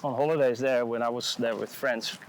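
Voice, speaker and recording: A man's voice: medium pitch, steady tone, slight background noise, low-quality recording. Laid-back Dutch man chatting outdoors. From Netherlands. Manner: laid-back, unhurried, searching for words. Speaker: music producer, guest. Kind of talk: casual interview chat. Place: outdoors, walking along a city sidewalk.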